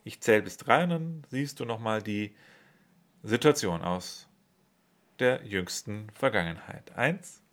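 Calm man guiding a meditation. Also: lowish voice. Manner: slow, calm, soothing instructions with pauses. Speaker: meditation guide. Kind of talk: guided meditation. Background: soft instrumental music.

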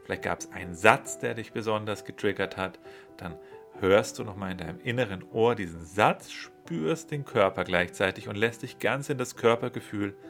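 A man leading a guided meditation, giving slow, soothing instructions with long pauses. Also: on the low side. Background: soft instrumental music.

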